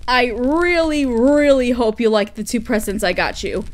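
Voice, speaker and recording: Woman acting a high-voiced, sweet, flustered girlfriend. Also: normal speed, slight background noise, close to an everyday voice. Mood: nervous, affectionate. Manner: giggly, gushing, hesitant when flustered. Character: sweet, flustered workaholic mechanic girlfriend, affectionate and dorky. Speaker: audio roleplay performer. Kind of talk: intimate girlfriend roleplay audio acting.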